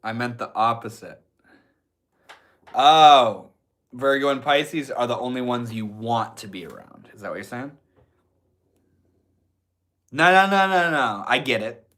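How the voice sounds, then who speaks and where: A man's voice: medium pitch, expressive, measured pace, long pauses, a bit bright. A young man with a stuffy nose, casually chatting with his livestream viewers and swearing freely. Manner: laid-back, swears often, chats with viewers. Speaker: livestreamer reading chat.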